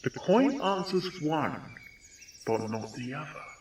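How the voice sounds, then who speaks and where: Earnest male adventurer, acted by a man reader, slow and cautious, in echoey, noisy audio. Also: on the high side, big pitch swings, a little mellow, voice pushed up. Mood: pondering. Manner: measured, deliberate, polite. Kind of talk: storybook character voice. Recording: echoey, noisy, distant-sounding.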